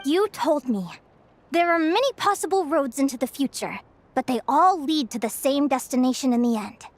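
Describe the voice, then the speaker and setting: An eager young researcher. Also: female voice, sits high, moderate pace, no echo. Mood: earnest. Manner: bright, eager and animated. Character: cheerful curious young woman researcher. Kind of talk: video game voice acting.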